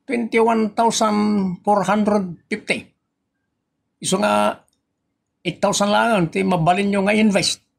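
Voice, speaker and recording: A woman with an Ilocano accent playing a pushy, wheedling investment peddler in a comedy audio drama, coaxing and animated. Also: medium pitch, slow pace, vocal fry, long pauses, heavily acted. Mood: pressing.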